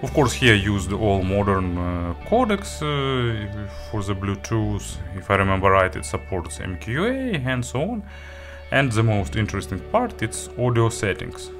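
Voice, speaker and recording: A man presenting a product review, walking through features item by item in halting, non-native phrasing. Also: lowish voice, big pitch swings, over music. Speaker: audio gear reviewer.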